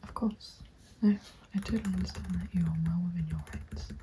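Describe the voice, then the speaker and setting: A young woman speaks in a breathy, mellow whisper, as in an ASMR roleplay. She is gentle, chatty and reassuring, right up by the microphone. Faint noise and keyboard clicks sit behind her voice. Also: on the low side, steady tone, brisk. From England. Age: 20s. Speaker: ASMR creator playing a recruitment agent. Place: small dim room at home. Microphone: close microphone. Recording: constant background noise with keyboard typing. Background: keyboard typing and clicks.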